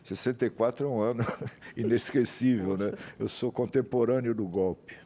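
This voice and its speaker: A man's voice: mid-range voice, steady tone, moderate pace, dark tone. A Brazilian man in his seventies, a journalist guest, telling rambling anecdotes full of tag questions. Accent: Brazilian Portuguese. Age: 70s. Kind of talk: interview storytelling.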